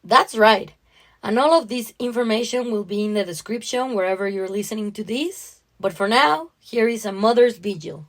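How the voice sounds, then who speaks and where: A woman's voice: medium pitch, normal speed. A woman co-hosting a podcast, speaking casually and narrating stories. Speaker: podcast co-host and story reader. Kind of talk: casual chat and story narration.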